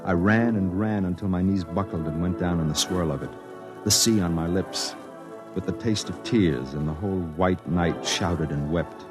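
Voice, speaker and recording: Actor as a deep-voiced, lonely, brooding reporter. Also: male voice, close to an everyday voice. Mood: intense, haunted. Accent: American. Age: 30s. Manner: brooding, confessional, measured. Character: lonely, sensitive thirty-one-year-old newspaper reporter and secret poet. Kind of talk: 1950s radio drama narration. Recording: vintage radio broadcast with music.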